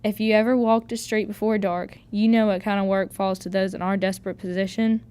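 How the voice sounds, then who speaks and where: A woman's voice: medium pitch, level tone, fairly quick, a little mellow, clean tone, lightly acted. A woman playing a plucky fourteen-year-old Irish servant girl in a radio drama, earnest, deferential and folksy. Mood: earnest pleading.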